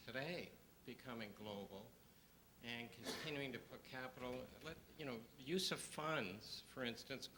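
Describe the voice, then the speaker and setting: A man's voice: medium pitch, bright, forceful tone, slight background noise, low-quality recording. A man in the audience making a long, earnest, rambling comment at a conference Q&A. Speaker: audience member asking a question.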